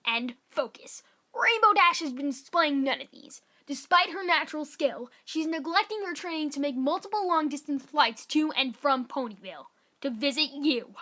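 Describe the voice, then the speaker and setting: A woman's voice: high-pitched, sharp tone. A woman voicing a formal, stern female cartoon pony captain, firmly reading out an official letter. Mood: formal, disapproving. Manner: formal, firm, earnest.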